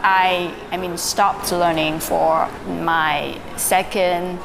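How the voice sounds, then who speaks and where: A young woman from Vietnam, a native Vietnamese speaker, telling her story as a podcast guest in a relaxed way with fillers and soft laughs. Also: fairly low pitch, extremely slow, vocal fry. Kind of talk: casual interview, recounting her story. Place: live-streamed online call. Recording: audible background noise.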